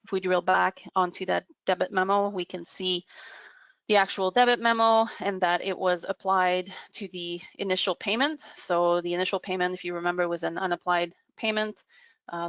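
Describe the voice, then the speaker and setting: Woman webinar presenter, lowish voice with gravelly fry, unscripted explanatory delivery, dry and slightly muffled audio. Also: fairly bright tone, no room sound. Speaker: webinar presenter giving a software demo. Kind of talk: unscripted software demo narration.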